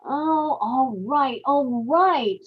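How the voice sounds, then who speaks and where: A woman's voice: high-pitched, extremely slow, phone-quality sound. A woman playing a scheming, guilt-ridden murderous wife in an old time radio horror play, with dramatic, folksy, emphatic delivery. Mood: curt, irritated. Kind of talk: old time radio horror acting, confessional narration and dialogue.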